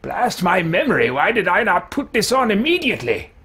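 A man voicing a fussy, absent-minded, genteel fantasy wizard, musing in formal, deliberate phrases as he roleplays through a video game. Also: high voice, huge pitch swings, sharp tone, slightly rough, exaggerated voice. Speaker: let's-play streamer roleplaying his game character. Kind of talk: in-character game roleplay commentary. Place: small indoor room at home.

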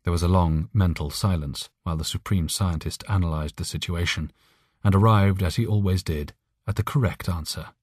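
Deep, husky male audiobook narrator. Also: normal speed, darkish tone, no echo, studio quality. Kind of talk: scripted narration of a short story.

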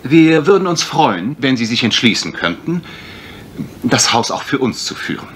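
An actor playing a brisk, wry husband turned amateur detective in a German radio mystery, polite, dry and assured. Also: male voice, medium pitch, very animated, fairly bright tone. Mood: businesslike, courteous. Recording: faint noise, retro radio play.

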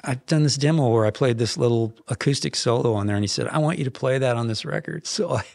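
A man in his fifties, a songwriter guest in a podcast studio, telling stories in a rambling, animated way with frequent restarts. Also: medium pitch, quick pace, vocal fry, no echo. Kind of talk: casual interview storytelling. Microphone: headphones and boom mic.

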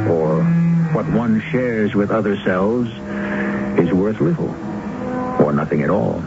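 A man hosting a radio drama, delivering scripted narration in a measured, solemn, philosophical way. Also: fairly low pitch, heavy background noise. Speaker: host. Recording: dull old radio broadcast, loud music.

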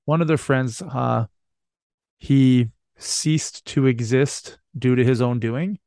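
A man's voice: mid-range voice, takes it slowly, creaky, a little mellow, no echo, studio quality. A man hosting a podcast, chatting casually in a joking, rambling way and swearing freely. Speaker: podcast host. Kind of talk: casual, bantering podcast chat.

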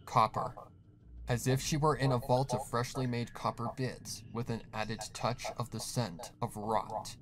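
A man's voice: mid-range voice, steady tone, unhurried, crowd noise. A man reading a scripted horror story as the narrator. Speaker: fan fiction narrator.

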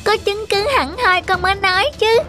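Woman playing a bright, very high-voiced cheeky boy. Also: steady tone, faint music behind. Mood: confident. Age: child. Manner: polite but cheeky, quick-witted. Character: clever, cheeky, confident little village boy. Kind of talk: children's cartoon acting.

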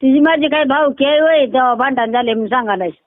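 A woman's voice: high voice, fast, dry recording. An older woman with a rural Maharashtrian Marathi accent chats bluntly and earthily, swearing freely, over a tinny phone line. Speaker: prank call target. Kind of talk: casual phone chat. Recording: tinny, phone-like band-limited sound.

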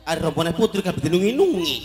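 A man's voice: fairly high pitch, very animated, very fast. A middle-aged man, doing playful live comic banter and snatches of mock crooning on a stage microphone. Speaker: stage comedian. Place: outdoor village party stage. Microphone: handheld microphone. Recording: live stage PA with music behind.

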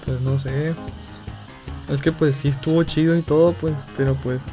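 A man's voice: on the high side, steady tone, warm tone. Hesitant young Mexican man over music. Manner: hesitant, halting, feigning embarrassment. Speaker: gaming video creator making a prank call. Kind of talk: casual phone chat. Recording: music playing behind the voice.

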